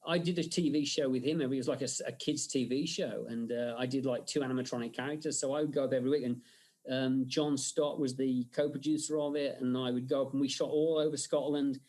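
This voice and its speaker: An English man telling lively anecdotes as a guest in a casual interview, often slipping into mock accents. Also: mid-range voice, fast talker. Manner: animated storyteller who slips into Scottish impressions. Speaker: guest, special effects artist.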